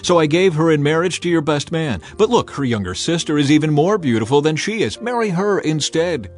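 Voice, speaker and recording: A man's voice: a little high, lively intonation, brisk, faint music behind, voice pushed up, close to an everyday voice. A man playing an anxious middle-aged father of a bride, bargaining and placating in a scripture drama.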